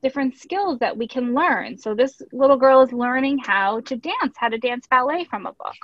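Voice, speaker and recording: A woman with a fairly high voice reads aloud in an expressive, teacherly way, pausing to explain things for young learners. The sound is dry and somewhat dull, like a lo-fi recording. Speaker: ballet teacher reading a picture book aloud. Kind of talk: storybook read-aloud with teaching asides. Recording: echoey room, dull lo-fi audio.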